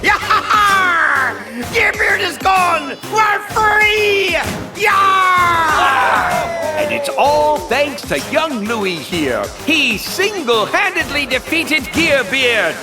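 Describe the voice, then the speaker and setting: A woman's voice: high-pitched, expressive, very slow, music in the background, heavily acted. A woman voicing an exuberant, boisterous pirate crew member in an audio drama. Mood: rowdy, jubilant. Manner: shouting, boisterous. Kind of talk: scripted audio drama acting.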